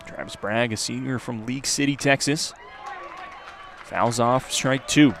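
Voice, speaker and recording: A man's voice: mid-range voice, a little slow, vocal fry, fairly bright tone. Male baseball announcer over outdoor ballpark noise. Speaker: play-by-play sports announcer. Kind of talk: live baseball radio commentary.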